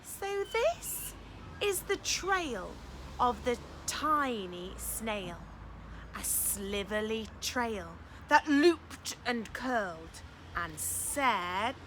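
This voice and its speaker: A very lively woman reads a children's storybook like an early years teacher. Her voice is bright and on the high side, and she takes her time. She is encouraging and expressive, stressing words dramatically. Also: extremely slow, slight background noise, rough recording. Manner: expressive, encouraging, dramatic emphasis with hushed asides. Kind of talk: animated children's storytime reading, chatty questions to listeners.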